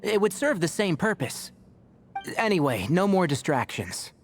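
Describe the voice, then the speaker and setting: A man's voice: sits high, big pitch swings, unhurried, a little hiss or hum, heavily acted. A man voicing a sensible young botanist in a video game, dry and matter-of-fact, with an inquisitive streak. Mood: curious, matter-of-fact. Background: soft game music.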